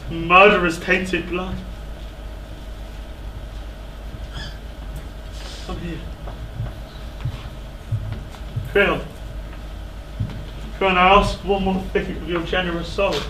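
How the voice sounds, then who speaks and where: A man on stage playing an anguished, self-loathing fallen king in a classical tragedy, declaiming in halting, pleading phrases. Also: sits high, fairly even intonation. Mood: grieving, pleading. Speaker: stage actor. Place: indoor theatre stage. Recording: echoey theatre, distant microphone, faint noise.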